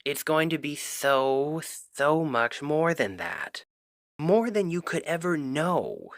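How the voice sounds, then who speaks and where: Guy acting as a cupid best friend for an asmr rp, young, exasperated, secretly smitten, really animated pitch, close dry sound. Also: takes it slowly, no echo, exaggerated voice. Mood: agitated, insistent. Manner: expressive, conversational, emphatic. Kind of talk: ASMR roleplay audio drama, one-sided conversation.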